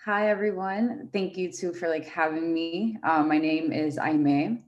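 A young woman from Venezuela, a fashion brand founder, talking as a podcast guest in a relaxed way with many fillers. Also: sits low, a little slow, dull audio. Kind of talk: casual interview chat.

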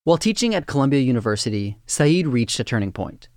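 A man narrating a documentary script in a steady, even reading. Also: mid-range voice, quick pace, dry recording, studio quality.

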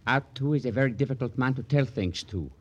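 An actor playing a smooth-talking villain in an old radio crime drama, speaking in mannered, formal, sardonic phrases. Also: male voice, mid-range voice, a little fast, dull audio, close to an everyday voice. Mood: sardonic, unhurried. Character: smooth-talking male villain with a silent henchman. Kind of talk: 1940s-50s radio crime drama acting. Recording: noisy old radio recording with clicks.

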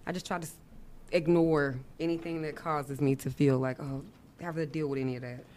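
Low-voiced woman singer chatting. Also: fairly quick. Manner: relaxed, candid, slangy, trailing restarts. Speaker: R&B singer, podcast guest. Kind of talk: casual interview chat. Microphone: studio mic.

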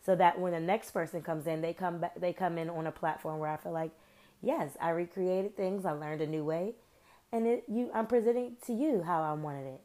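A woman's voice: lowish voice, normal speed, dark tone. Chatty upbeat woman podcast host. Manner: chatty, upbeat, slangy, rambling asides. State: recovering from sinus trouble, voice varies. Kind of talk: casual solo podcast chat.

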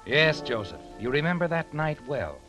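A man's voice: fairly high pitch, big pitch swings, moderate pace. An actor playing a sinister, all-knowing male mystery narrator, ominous and taunting in old radio style. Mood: sly taunting. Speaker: story narrator. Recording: old radio recording with music behind.

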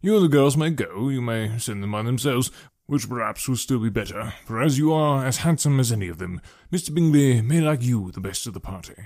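A man voicing a dry, teasing middle-aged English husband in an audiobook, with a deadpan manner. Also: mid-range voice, brisk, natural voice. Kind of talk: audiobook character voice.

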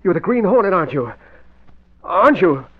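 Jumpy, cowardly crook, swooping voice. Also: male voice, high voice, big pitch swings, normal speed. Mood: frightened, jumpy. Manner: hesitant, anxious. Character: nervous, jumpy, cowardly crook pleading and scheming. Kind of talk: 1940s radio acting. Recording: tinny, noisy old radio recording.

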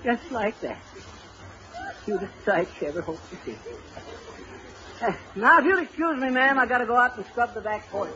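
A folksy, wily teller of tall tales in an old radio comedy. His voice sits high, with homespun rustic phrasing and cornball cheer. The recording is muffled and clearly noisy. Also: animated, normal speed, pauses often. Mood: sheepish excuse. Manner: drawling rustic storyteller with homespun grammar. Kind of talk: 1930s radio comedy acting.

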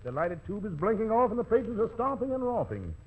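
A man's voice: high-pitched, sing-song, quick pace, breathy edge. A man announcing music on 1940s radio in slangy, playful jive-talk patter. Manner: slangy, rhyming hipster patter. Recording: tinny old 1940s radio recording.

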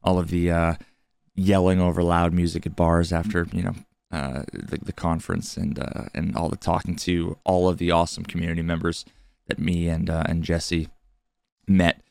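A man with a hoarse voice, recapping a podcast episode in a laid-back way with lots of filler words. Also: low-pitched, little pitch movement, normal speed, darkish tone. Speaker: podcast co-host. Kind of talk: solo intro recap.

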